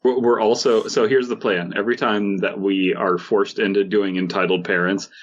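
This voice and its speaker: Male co-host, low and raspy, comic mock-ranting chat, slightly dull lo-fi recording. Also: fairly even intonation, moderate pace. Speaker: podcast co-host. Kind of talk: casual comedic banter. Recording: dull, muffled lo-fi microphone.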